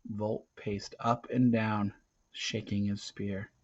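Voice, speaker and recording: A man reading an adventure novel aloud in a dramatic, emphatic way, swinging between hushed and loud delivery. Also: on the low side, little pitch movement, slow pace, husky. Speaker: reader of a children's adventure novel. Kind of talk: dramatic read-aloud narration. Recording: dull, lo-fi recording.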